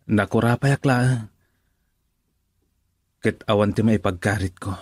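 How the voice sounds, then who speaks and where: Low-voiced, humble young working man. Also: fairly even intonation, measured pace, a little mellow, no echo, studio quality. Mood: brooding. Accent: Ilocano. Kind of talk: Ilocano radio drama acting.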